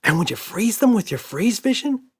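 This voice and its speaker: Man putting on an excitable, squealing little girl. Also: sits high, normal speed, slightly rough, pitched above the performer's own voice, heavily acted. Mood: excited. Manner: squealing, wheedling. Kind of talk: children's storybook character voice.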